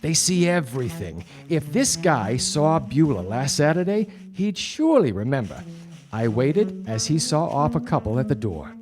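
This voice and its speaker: An actor playing a wry, deadpan 1940s private detective in a radio noir drama. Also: male voice, mid-range voice, moderate pace, lightly acted. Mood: wry narration. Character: wry 1940s hardboiled male private detective, dryly exasperated. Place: theatre stage before a studio audience. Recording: live radio play with music behind.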